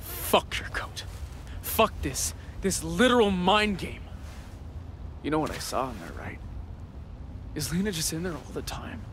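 A man's voice: fairly high pitch, lively intonation, measured pace, long pauses, fairly bright tone. A male actor playing an earnest, frustrated young man pleading insistently with family in a video game drama. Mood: angry, urgent. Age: young adult. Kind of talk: cinematic game drama acting. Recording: faint ambient noise behind the voice.

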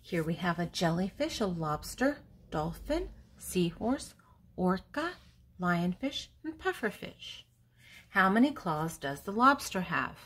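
An older woman with a lowish, husky voice reads to small children. Her delivery is deliberate and teacherly, swinging widely in pitch as she names things and poses simple questions. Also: sing-song, fairly bright tone. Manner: deliberate, teacherly, pausing between words. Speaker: host reading a children's picture book aloud. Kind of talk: read-aloud for young children, with questions and answers.

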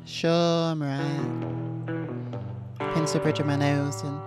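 A woman's voice: low voice, little pitch movement, slow pace. A woman streamer reading visual novel narration aloud, with casual asides. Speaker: VTuber streamer. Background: soft guitar game music.

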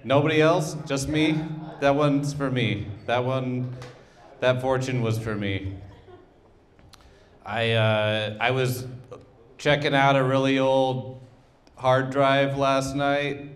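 A man in his thirties doing absurdist stand-up into a handheld mic, rambling in an awkward, deadpan, halting way. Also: medium pitch. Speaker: stand-up comedian. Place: small comedy club stage, indoors. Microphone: handheld microphone.

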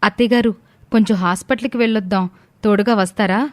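A woman's voice: medium pitch, fairly even intonation, fast, dry recording, lightly acted. Woman acting a sweet, coy young wife. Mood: weary, polite. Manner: polite, playfully coy. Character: sweet, coy young pregnant wife, respectful daughter-in-law.

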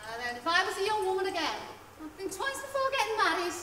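Actress doing a fussy wife coaxing her drunk husband, bright voice, far-off hall sound. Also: extremely high pitch, sing-song, quick pace, heavily acted. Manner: coaxing, wheedling. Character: fussing, worried wife of a drunkard. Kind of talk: stage play acting. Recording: echoey hall, far from mic, faint ambience.

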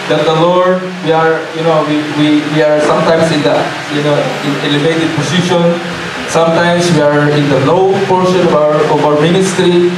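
A middle-aged man from the Philippines with a Filipino accent, preaching a sermon into a handheld mic in a church hall, halting and restarting with many "you know" fillers. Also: high-pitched. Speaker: pastor. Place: church hall with stage, indoors. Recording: echoey hall from far off, background noise.

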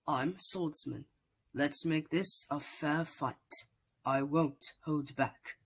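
An honorable sword robot from a game, voiced by a voice actor. He speaks low-key and measured, with a husky, gravelly texture. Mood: calm. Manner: cool, measured, soft.

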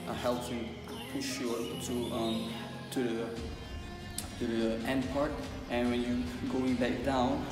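A young man in a college dorm room, talking through his home workout in a casual, rambling way with many fillers and restarts. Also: medium pitch. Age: young adult. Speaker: fitness vlogger.

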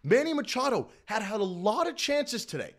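A man's voice: sits high, big pitch swings, normal speed. A young man in his twenties hosting a live-stream postgame recap from a small room at home, hyped and repetitive, often calling out to everybody in the chat. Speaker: sports fan streamer host. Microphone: desk mic in front of the face.

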